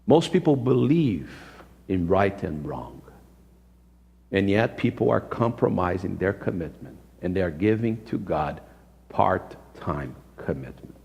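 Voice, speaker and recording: Male pastor, fifties, Brazilian accent, low gravelly voice, emphatic sermon delivery with repeated phrases. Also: sing-song, measured pace, dull audio. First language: Portuguese. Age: 50s. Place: church sanctuary. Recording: reverberant hall, live-streamed church service.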